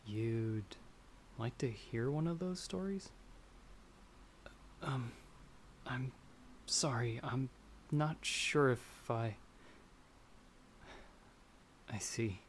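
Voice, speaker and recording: A man's voice: medium pitch, slow, long pauses, close to an everyday voice. Timid young farmer lad, acted by a man, noisy ambience. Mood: nervous, polite. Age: young adult. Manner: hesitant, polite, slightly formal. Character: timid, polite young male farmer traveler, easily frightened. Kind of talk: ASMR fantasy roleplay, storytelling to a listener. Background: stormy night ambience.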